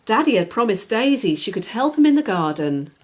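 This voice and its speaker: A woman reads a children's story aloud. The voice is fairly high, with expressive, very lively intonation and clear phrasing for young listeners. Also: fairly quick. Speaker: children's author, reader. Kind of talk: scripted storybook narration.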